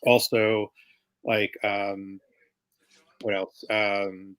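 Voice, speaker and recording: Halting man, lowish bright voice. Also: level tone. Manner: halting, thinks aloud, circles back over phrases. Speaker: comic book writer being interviewed. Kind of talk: casual chat.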